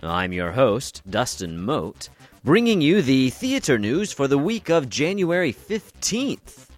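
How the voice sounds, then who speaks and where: A man's voice: mid-range voice, wildly swooping pitch, takes it slowly. A man hosting a theater news podcast, reading the news from a script in an upbeat, playful way. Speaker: podcast host. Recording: faint music underneath.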